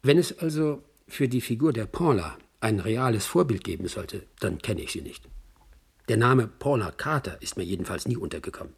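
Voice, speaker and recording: Worldly male witness, radio drama monologue: very husky, low, creaky, dryly gossipy. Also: expressive, moderate pace, exaggerated voice. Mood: wry, reminiscing. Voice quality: husky, gravelly. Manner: reminiscing, dryly ironic. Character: worldly male acquaintance giving wry, gossipy recollections.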